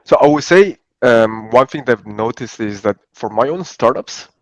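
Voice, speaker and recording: A man from Italy, a podcast guest, talking in a relaxed, rambling way with many fillers. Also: medium pitch, normal speed, no room sound. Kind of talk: conversational podcast interview. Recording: dry, dull lo-fi sound.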